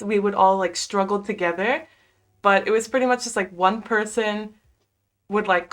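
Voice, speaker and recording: A young woman in her twenties chatting casually on a home livestream, reading viewer comments, full of "like" fillers and quick laughs. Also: mid-range voice, a bit bright. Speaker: livestream host. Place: small bedroom at home.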